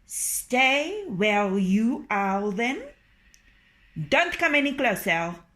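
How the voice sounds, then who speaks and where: A woman voicing a proud, bossy male rooster in a storybook reading, loud and scornful. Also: on the high side, sing-song, very slow, pitched above the performer's own voice, heavily acted. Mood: wary.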